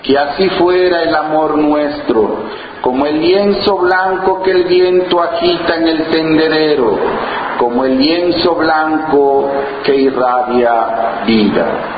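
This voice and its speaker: A man from Oaxaca whose first language is Zapotec, a poet who tells his story haltingly, with many fillers and restarts, and reads his own poems. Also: on the high side, very echoey, long reverb tail, dull audio, background noise. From Juchitán, Oaxaca, Mexico. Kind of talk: slow reflective interview and poetry reading.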